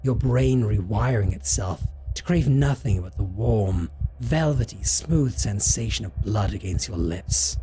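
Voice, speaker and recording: A slow, mellow-voiced male vampire, acted by a man in an audio drama, drawing out his threats with theatrical relish. His pitch swoops widely as he slips between cold menace and mock tenderness, possessive and seductive. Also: lively intonation, a little hiss or hum, poor audio. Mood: cruel intimidation. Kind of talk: scripted roleplay audio drama.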